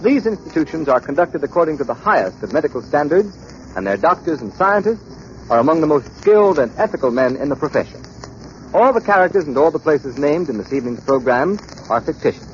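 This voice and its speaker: A male announcer with a fairly high voice reads formally from a script. He declaims in 1930s radio fashion on a muffled old recording. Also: big pitch swings, brisk, a little hiss or hum.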